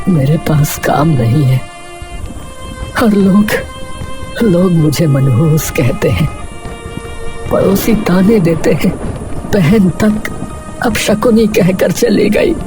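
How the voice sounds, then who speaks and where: Man playing a slow, mournful poor cobbler. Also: high voice. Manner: mournful, halting, pleading. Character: poor, despairing, humble male cobbler.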